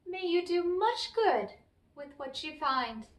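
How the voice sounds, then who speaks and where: Woman voicing a high-pitched, cranky little old leprechaun. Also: sing-song, takes it slowly, slight background noise, heavily acted. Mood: slyly polite. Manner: sharp, folksy, crafty. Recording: roomy, echoey, distant microphone.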